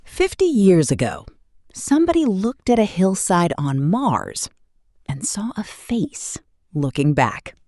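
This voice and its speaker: A woman's voice: low-pitched, darkish tone, no room sound, clean studio sound. An elderly woman giving reflective, scripted narration as a show host. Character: elderly great-grandmother.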